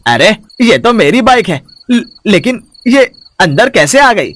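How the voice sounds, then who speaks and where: An actor playing a shameless, lecherous young village villain in a storybook audio drama, with a leering, taunting way of talking. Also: male voice, high-pitched, very animated, unhurried, fairly bright tone, slight background noise, full character voice. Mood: frightened. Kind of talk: acted audio story dialogue.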